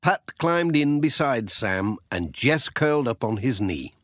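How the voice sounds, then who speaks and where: Guy reading a kids story, slow and very expressive, nice dry sound. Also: mid-range voice, no echo. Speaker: narrator. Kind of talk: children's story narration.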